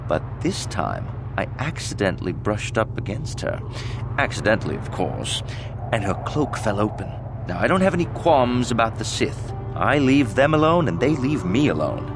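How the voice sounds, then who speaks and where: A man playing a grudging, sarcastic witness in a space opera video game, speaking emphatically. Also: fairly low pitch. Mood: guarded, wry. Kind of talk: video game character dialogue.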